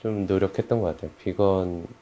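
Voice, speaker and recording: A man's voice: low voice, steady tone, fast, mellow. A man speaking casually as a team member in a group discussion, hesitant and agreeable. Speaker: project team member being interviewed.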